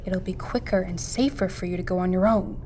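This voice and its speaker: A woman's voice: fairly low pitch, a little fast, dark tone, a little hiss or hum, close to an everyday voice. An actress playing a resolute, practical, selfless woman in a naturalistic audio drama. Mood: reasoning.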